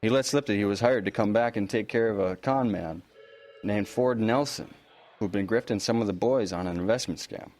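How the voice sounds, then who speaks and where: A man acts as an easygoing small-town police officer in an audio drama. His voice sits on the low side, and he talks politely and informally, with a touch of awkwardness. The dialogue feels natural and conversational. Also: moderate pace, darkish tone, natural voice. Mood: informative.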